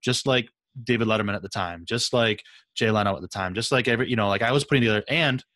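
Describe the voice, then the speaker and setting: A man talks quickly in a fairly low voice. He sounds like a podcast host swapping stories, casual and emphatic, repeating phrases for effect. The recording is dry. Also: fairly bright tone, no echo. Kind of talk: casual conversational anecdote.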